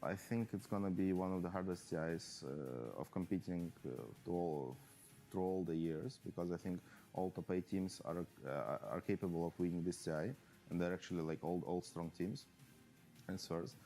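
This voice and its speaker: A man, a professional player, giving a halting interview full of fillers and restarts. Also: deep voice, dark tone, soft background music. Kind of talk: post-match player interview.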